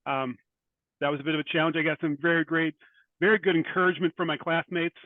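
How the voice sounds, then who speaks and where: A man speaking as a writer guest in an interview, thoughtful and halting, often repeating words as he goes. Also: a little high, fairly even intonation, no echo, phone-quality sound. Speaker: guest, short fiction writer. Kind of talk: interview conversation.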